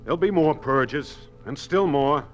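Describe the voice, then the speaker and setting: An actor playing a grave, earnest husband who resists a regime, in a 1950s radio drama. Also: male voice, fairly high pitch, measured pace, lo-fi sound, soft background music, natural voice. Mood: grim, resolute.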